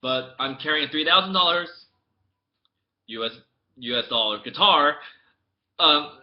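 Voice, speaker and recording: A young man from the United States, chatting casually to his camera as a vlogger. He tells his story in a rambling way with many restarts, and it swings from mumbled asides to loud outbursts. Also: fairly high pitch, expressive, measured pace, lo-fi sound. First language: English. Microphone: laptop webcam mic.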